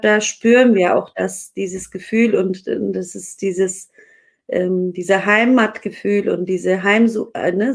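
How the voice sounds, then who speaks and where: A woman from Dersim hosting a live online interview, chatting casually with many fillers and restarts. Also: mid-range voice. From Dersim, Turkey. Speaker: host.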